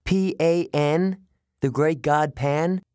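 Man doing a young satyr, raised pitch, earnest, wistful, dry close sound. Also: slow pace, darkish tone, clear, resonant tone, dry recording. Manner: wistful, indignant about pollution. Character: earnest young male satyr, gentle and idealistic.

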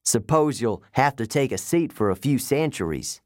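A man voicing a suave, condescending underworld ferryman with a British accent and a smooth, cold, sardonic drawl in a storybook reading. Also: medium pitch, expressive, normal speed, no room sound, clean studio sound, natural voice. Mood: mocking, intrigued.

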